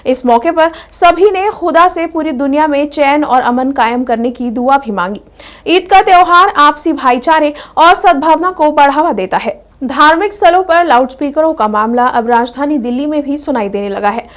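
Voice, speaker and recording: High-pitched woman reading the news. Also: moderate pace. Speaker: news presenter.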